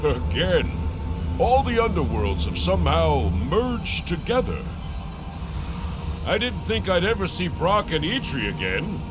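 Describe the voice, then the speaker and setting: Wry, animated adventurer. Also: male voice, on the high side, measured pace. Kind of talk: video game cutscene acting. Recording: music under the voice.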